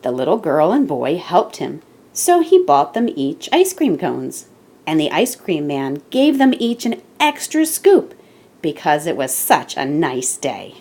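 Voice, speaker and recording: A woman reading a children's picture book aloud with deliberate, expressive emphasis, as the storyteller and host. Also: low voice, breathy edge.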